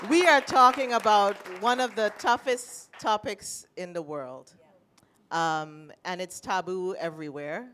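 A middle-aged woman with a Trinidadian accent, sharing a personal story at a panel with animated, emotional delivery, speaking into a handheld mic. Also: lowish voice, a little hiss or hum. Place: panel stage in a large indoor room.

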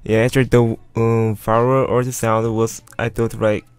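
A man of about twenty with a Korean accent, giving casual commentary in halting, repetitive non-native English. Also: medium pitch, little pitch movement, smooth. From South Korea. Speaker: streamer narrating his video. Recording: voice-over with music underneath.

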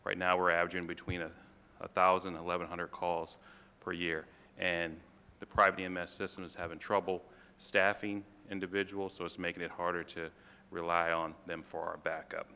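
A man presenting to a city council as a fire department official, halting, with many fillers and restarts. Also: low-pitched, little pitch movement, sharp tone, clear, resonant tone. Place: council chamber. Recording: reverberant chamber, faint room noise.

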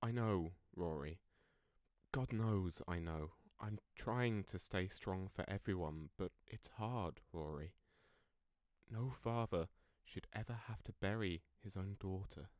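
A man's voice: deep voice, slow, a little mellow, no echo, clean studio sound. A man playing a bereaved middle-aged father in an audio play, speaking earnestly and with heavy emotion. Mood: grieving, strained. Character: grieving father, pleading with his son-in-law.

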